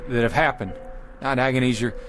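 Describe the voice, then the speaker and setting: A man's voice: medium pitch, over music, natural voice. Man acting a stubborn, desperate American pioneer leader. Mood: pleading, resolute. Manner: earnest, insistent, halting under strain. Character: stubborn, desperate pioneer wagon-train leader, a devoted father. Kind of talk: radio drama acting.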